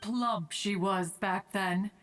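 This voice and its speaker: A woman's voice: medium pitch, takes it slowly, a bit bright, a little hiss or hum. A woman voicing an ancient female crane spirit in a game, speaking in a pompous, archaic way about herself. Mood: stately. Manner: archaic formal speech, refers to herself as "one", pompous. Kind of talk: video game voice acting.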